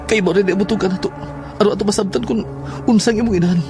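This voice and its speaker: Man playing serious schoolboy, high bright voice, earnest, insistent, audio drama, music behind. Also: fairly even intonation, quick pace, natural voice. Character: serious schoolboy eager to uncover family secrets.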